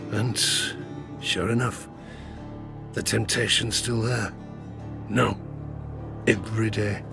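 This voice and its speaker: A man's voice: on the low side, creaky. A man playing a ruined sailor and gambler, telling his rueful tale for an audio drama. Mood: haunted, resigned. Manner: slow, rueful storytelling with colloquial dialect. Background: music.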